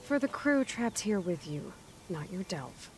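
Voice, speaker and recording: An actress playing a level-headed young heroine in a video game, dry and matter-of-fact. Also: female voice, medium pitch, very animated, a little slow, faint music behind. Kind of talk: video game cutscene acting.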